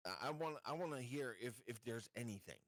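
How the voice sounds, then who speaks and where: A man playing a tabletop roleplaying game, chatting casually and asking cautious questions in a halting, word-repeating way. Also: medium pitch, lively intonation, no room sound, studio quality.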